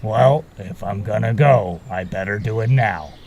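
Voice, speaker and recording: Man playing a gravelly, easygoing guide. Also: lowish voice, unhurried, a little mellow, slight background noise. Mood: resolved. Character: friendly, laid-back male guide helping travellers. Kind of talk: amateur audio drama acting.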